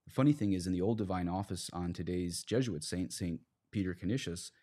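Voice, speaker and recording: Low-voiced man, emphatic priest in religious discussion. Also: fairly quick, dark tone. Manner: emphatic, argumentative, poses rhetorical questions. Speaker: priest, podcast guest.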